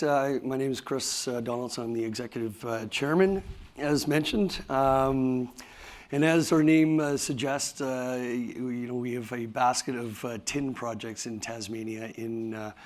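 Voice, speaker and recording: A man with a raspy voice, tired from talking all day, presenting his company at a conference in a halting way, with many fillers and restarts. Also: mid-range voice, fairly even intonation. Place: conference hall.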